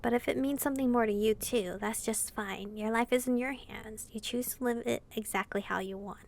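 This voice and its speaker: A woman's voice: fairly high pitch, brisk, vocal fry. A woman reading a visual novel aloud expressively and commenting casually as she plays. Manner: animated reading, reacting informally to the story.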